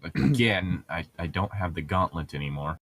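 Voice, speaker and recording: A man's voice: sits low, lively intonation, moderate pace, fairly bright tone, no echo. A man co-hosting a podcast in casual joking banter over a book being read aloud, sarcastic and teasing. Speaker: podcast co-host.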